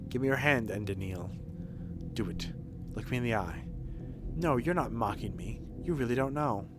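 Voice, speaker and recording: A man voicing a cryptic, commanding young mystic mistress from a video game, hushed and solemn. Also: medium pitch, expressive, moderate pace, background noise, lightly acted.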